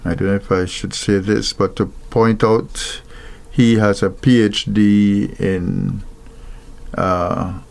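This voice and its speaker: A man from Guyana, likely older, talking thoughtfully about marriage, pausing often with fillers and restarting sentences. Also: on the low side, little pitch movement, gravelly. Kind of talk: reflective talk on marriage.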